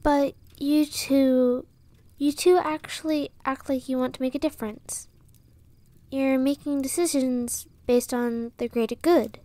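Fantasy audio drama: brave young commoner girl voiced by a woman, high-pitched, earnest, pleading. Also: steady tone, a little slow, mellow, dry recording. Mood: hopeful pleading. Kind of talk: fantasy audio roleplay acting.

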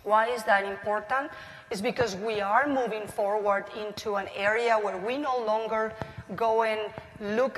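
A woman's voice: mid-range voice, fairly bright tone, big-room sound, rough recording. Mexican-accented woman on a panel stage. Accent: Mexican Spanish. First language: Spanish. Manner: measured, listing points with frequent ums. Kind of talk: panel discussion. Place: conference stage in a large hall. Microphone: lapel.

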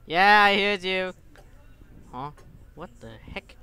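A gaming streamer, giving exclamatory, halting English commentary and reading game text aloud. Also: mid-range voice, bright, forceful tone. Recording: clear background noise and clicks.

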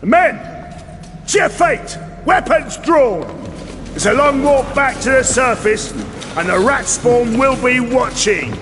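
High-voiced, blunt mercenary captain. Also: male voice, lively intonation, takes it slowly, noisy background, full character voice. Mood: urgent, shouting. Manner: plain-spoken, gruffly commanding. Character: weary, blunt mercenary captain, wary human man. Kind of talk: audio drama acting.

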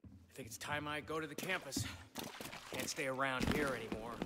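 A man's voice: mid-range voice, moderate pace, very noisy, lightly acted. A man playing a chatty, folksy beekeeper survivor in a video game, with a colloquial, wry manner. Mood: grateful, relieved. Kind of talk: video game character acting. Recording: loud game music behind. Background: loud music.

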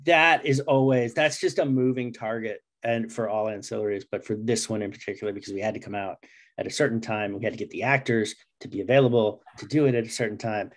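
A man's voice: fairly low pitch, steady tone, fast talker. A man around his forties chatting casually and enthusiastically as an interview guest on a video call. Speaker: comic writer and interview guest. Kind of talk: casual chat.

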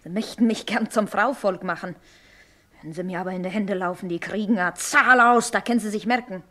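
An actress playing a hard, defiant young maidservant in a radio drama, speaking curtly in rustic German. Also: female voice, medium pitch, fairly quick, bright, forceful tone, close to an everyday voice. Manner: curt, tart, rustic.

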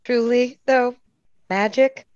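A woman's voice: on the high side, steady tone, slow, a little mellow, clean tone, no room sound, muffled recording. A woman playing a polite, fussing older mother and hostess in a radio play. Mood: uneasy.

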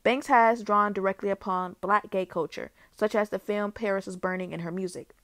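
A woman's voice: medium pitch, steady tone, normal speed, a bit bright, dry recording. Woman reading a biography, dry sound. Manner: reads steadily, with small restarts. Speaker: YouTube host. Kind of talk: read-aloud biography narration.

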